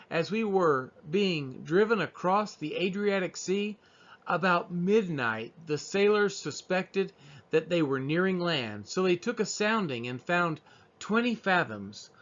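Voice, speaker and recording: A man reading scripture aloud as a Bible reader, with measured, expressive phrasing. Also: high-pitched. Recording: dull, lo-fi sound.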